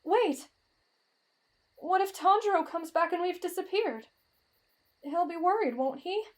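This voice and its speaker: A woman's voice: very high voice, a little slow. A woman voicing a timid, lovestruck teenage boy in an anime-style roleplay drama, with a dramatic, whiny delivery that swings between fretful and gushing. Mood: anxious, fretful. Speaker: voice actor in roleplay audio.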